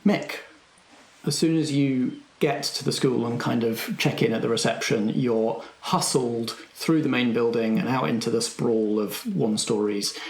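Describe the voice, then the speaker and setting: A man's voice: mid-range voice, a little slow. Man game master, echoey room. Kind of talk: casual improvised narration of a tabletop game.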